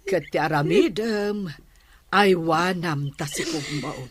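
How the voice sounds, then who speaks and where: Tired ilocano mom, lowish voice, slow, chiding gently, radio soap w music. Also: female voice, crowd noise. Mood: resigned, chiding. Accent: Ilocano Filipino. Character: weary, gently chiding mother. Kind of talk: Filipino radio drama acting.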